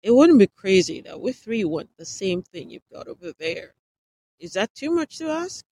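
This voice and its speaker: A woman's voice: medium pitch, very animated, moderate pace, dark tone, a little hiss or hum, close to an everyday voice. A woman reading aloud as a stern, threatening man holding a gun. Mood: demanding.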